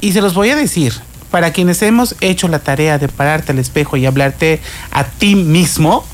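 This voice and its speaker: Female radio co-host, Mexican accent, slightly low voice, emphatic and chatty, faint noise behind. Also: normal speed. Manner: expressive, emphatic, builds up repetitive lists. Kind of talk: chatty live radio talk.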